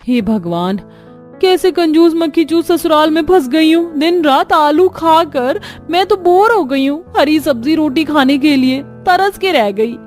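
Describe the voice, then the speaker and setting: A woman voicing a fed-up, sharp-tongued young daughter-in-law who complains in a cartoon. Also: high voice, expressive, measured pace, soft background music, voice pushed up. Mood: exasperated. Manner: whining, exasperated complaining.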